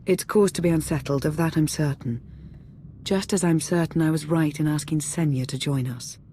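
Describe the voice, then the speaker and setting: A woman acting a composed, calculating yet loyal adviser in a video game, poised and deliberate. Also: sits low, dark tone, a little hiss or hum, natural voice. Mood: calm, assured.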